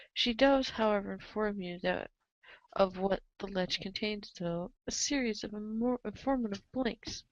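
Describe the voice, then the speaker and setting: A woman's voice: mid-range voice, dry recording. A woman reading scripted narration of a story in a readers' theater show. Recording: dull lo-fi microphone sound.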